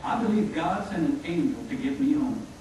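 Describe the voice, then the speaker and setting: A man voicing a folksy, gentle frontier father in a puppet show, telling things earnestly. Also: a little high, sing-song, moderate pace. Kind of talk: scripted church puppet show dialogue. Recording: echoey room, distant mic, faint noise.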